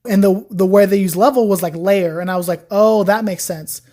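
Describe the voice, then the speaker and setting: A woman's voice: medium pitch, fairly quick. A young woman, a guest on a podcast, chatting casually about learning Chinese, telling her story with lots of "like". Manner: chatty, animated storytelling with frequent "like". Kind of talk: casual interview chat.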